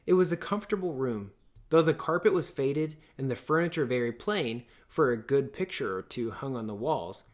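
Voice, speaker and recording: A man reads a novel aloud as a teacher would for students. His mid-pitched voice gives expressive storybook narration. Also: normal speed.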